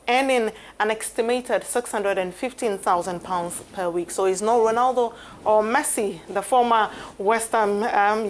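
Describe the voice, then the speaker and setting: A woman sports presenter delivering a live news segment with studio chat, pausing often as she goes. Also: mid-range voice. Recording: steady background noise.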